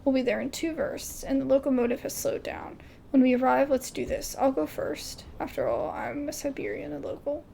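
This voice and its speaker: A woman hosting a casual gaming video, reading game dialogue aloud haltingly and adding her own asides. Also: sits high, expressive, creaky, warm tone, slight background noise.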